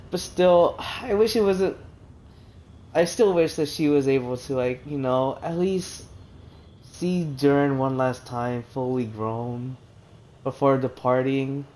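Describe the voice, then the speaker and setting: Slow-talking, chatty game streamer man. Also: on the high side, a little mellow, a little hiss or hum. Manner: chatty, emotional, sighing. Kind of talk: casual live gameplay commentary.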